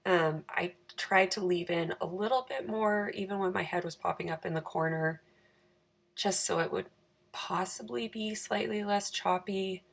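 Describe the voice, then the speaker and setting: Raspy, creaky-voiced woman vlogger. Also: fairly low pitch, normal speed. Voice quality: raspy, croaky. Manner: chatty, self-deprecating. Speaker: planner channel host. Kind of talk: casual craft voice-over.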